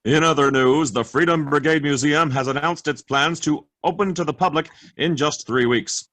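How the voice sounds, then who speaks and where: An actor in a staged reading playing a TV news anchor with a newscaster cadence who stammers when flustered. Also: male voice, a little high, normal speed, fairly bright tone, no room sound, lightly acted. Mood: newsreading. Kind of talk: virtual staged play reading.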